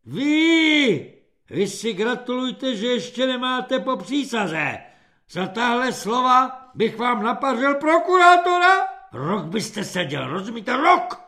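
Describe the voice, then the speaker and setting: A scornful young corporal played by a male narrator screams commands at his squad in a high, piercing voice. His pitch leaps all over as he barks and mocks. The sound is bright and cutting. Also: lively intonation, pauses often. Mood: angry shouting. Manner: yelling, scornful, barking orders. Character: shrill, bullying young army corporal.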